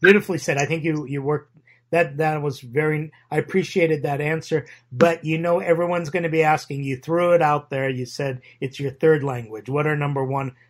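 A man's voice: on the high side, fairly even intonation, normal speed, no echo, studio quality. A male host asking long, personal questions and affirming his guest's answers. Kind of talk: interview hosting.

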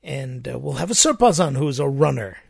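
Interview host, man, gravelly voice, dry close sound, long affirming questions. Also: medium pitch, expressive, fairly quick, darkish tone, no echo. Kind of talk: interview hosting.

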